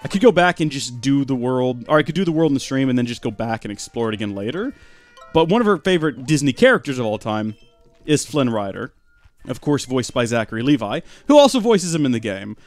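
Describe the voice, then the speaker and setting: A young man giving rambling, deadpan joking commentary while streaming a video game live. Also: medium pitch, fairly quick, soft background music.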